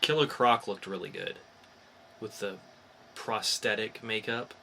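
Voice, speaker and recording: A man's voice: lowish voice, unhurried, creaky, sharp tone, slight background noise. A man hosting a podcast in casual chat, informal and opinionated in the way he talks. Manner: opinionated, informal, mildly profane. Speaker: podcast host. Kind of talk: casual podcast chat about comics and movie news.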